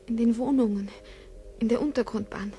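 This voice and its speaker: An actress playing a frightened young daughter in an old radio drama, speaking in a fearful whisper. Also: female voice, fairly high pitch, normal speed, warm tone, natural voice. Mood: dread. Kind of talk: 1950s radio drama acting. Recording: old 1950s radio recording with hiss.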